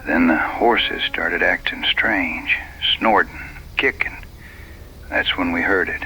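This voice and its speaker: A male actor doing a rustic western frontiersman for radio-style drama. The voice is deep but forceful and sharp, with gruff, plain backwoods delivery. Light music plays behind it. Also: slow pace, exaggerated voice, rough recording. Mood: shaken. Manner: rustic, plain-spoken phrasing. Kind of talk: dramatized audio western dialogue.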